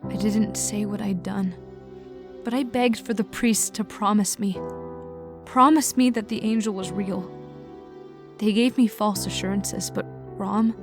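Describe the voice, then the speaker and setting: Casting: haunted young woman played by an actress, pleading and defiant, dark fantasy audiobook with clear music underneath. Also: medium pitch, lightly acted.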